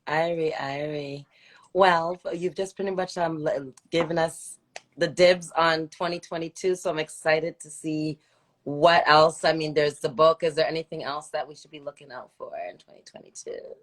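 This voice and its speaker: Low-voiced woman hosting casual interview. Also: steady tone, normal speed. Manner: encouraging, slangy interjections. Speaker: interview host. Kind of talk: casual live interview chat.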